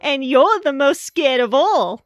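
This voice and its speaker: A woman acts out a vain male engine in a children's story, snarky and easily rattled. He talks in a raised, animated, mocking voice. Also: high voice, unhurried, faint music behind, voice pushed up.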